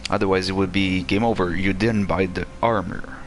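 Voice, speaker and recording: A man's voice: deep voice, steady tone, normal speed, faint music behind. A male game streamer who is not a native English speaker, giving casual, sweary live commentary in muttered reactions. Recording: loud game noise behind voice.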